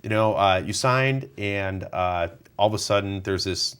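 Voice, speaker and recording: A man's voice: on the low side, measured pace, a bit bright, clean tone. A man hosting a studio interview show, chatting and asking questions with light laughs. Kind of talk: casual interview conversation. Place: television studio.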